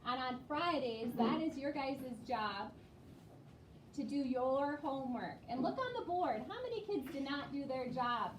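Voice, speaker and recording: Female schoolteacher, high voice, distant and echoey. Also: lively intonation, measured pace. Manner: instructive, checking in with questions. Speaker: elementary school teacher. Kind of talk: classroom teaching, explaining to young children. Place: indoor classroom. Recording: roomy, distant, noisy classroom.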